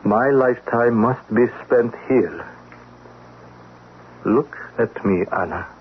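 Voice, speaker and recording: A man's voice: medium pitch, lightly acted. Man playing a haunted refugee painter, tinny radio sound. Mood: sorrowful, weary. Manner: slow, poetic, pleading, formal foreign phrasing. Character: weary, haunted middle-aged male refugee painter, former political prisoner, earnest. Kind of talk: radio drama acting. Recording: tinny band-limited radio, clear noise.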